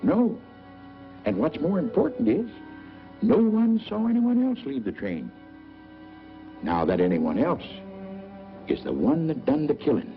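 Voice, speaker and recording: A man's voice: on the high side, very animated, measured pace, pauses often, soft background music. An actor playing a friendly, talkative small-town man in the manner of a 1940s film. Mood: gossipy. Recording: dull old film soundtrack.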